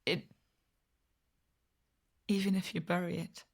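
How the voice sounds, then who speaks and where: Slow, wounded, blunt survivor. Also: female voice, lowish voice, fairly even intonation, slightly rough, exaggerated voice. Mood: hurt, vulnerable. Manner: halting, weary, blunt. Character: wounded, guarded, blunt woman survivor of trauma. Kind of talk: naturalistic audio drama acting.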